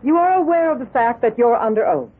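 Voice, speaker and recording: An actress playing a formal American prosecutor in a radio drama, putting precise questions. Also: female voice, on the high side, lively intonation, brisk, slightly rough, soft background music. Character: formal, brisk female prosecutor questioning in court. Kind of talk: 1970s radio drama acting. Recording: muffled old radio broadcast.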